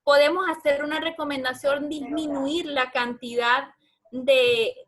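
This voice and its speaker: A woman's voice: high-pitched, fairly even intonation, a little slow, a bit bright. A woman with a Venezuelan Andean accent answering questions as a doctor in an informal webinar, explaining in colloquial terms and addressing listeners directly. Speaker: guest lecturer, obstetrics doctor.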